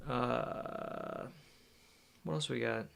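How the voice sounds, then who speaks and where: A man's voice: mid-range voice, very slow, creaky, clear, resonant tone. A young man chatting casually in a vlog about his studies and his podcast, losing his voice. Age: young adult. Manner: relaxed, rambling delivery with fillers. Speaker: vlogger and podcast host.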